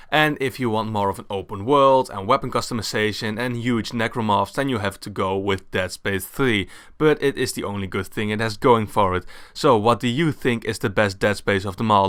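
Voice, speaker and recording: A man's voice: fairly low pitch, fairly bright tone, clear, resonant tone, no room sound, studio quality. Young Dutch-accented male vlogger, cheerful, self-mocking, clean voice. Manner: enthusiastic, laughs at himself, swears casually. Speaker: gaming YouTuber. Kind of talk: casual opinion vlog. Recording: faint background music.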